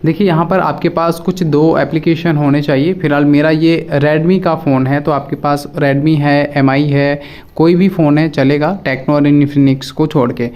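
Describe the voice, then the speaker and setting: A man's voice: fairly high pitch, steady tone, normal speed, darkish tone, clear, resonant tone, dull audio. A man presenting a casual step-by-step phone app tutorial, addressing viewers directly and often repeating his instructions.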